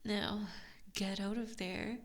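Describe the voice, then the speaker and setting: A woman's voice: medium pitch, fairly even intonation. A woman voicing an evil, possessive giant kitsune in a whispered ASMR roleplay, teasing and cruel, close on a binaural mic. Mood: menacing. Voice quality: whispery, breathy. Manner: slow, taunting, mock-sweet. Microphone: close binaural microphone.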